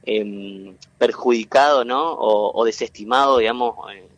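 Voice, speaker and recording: A man from Entre Ríos in Argentina with a local accent, a guest explaining at length in an interview, halting and full of fillers and restarts. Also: mid-range voice, no room sound, muffled recording. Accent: Entre Ríos Argentine Spanish. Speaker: guest, tech cluster president.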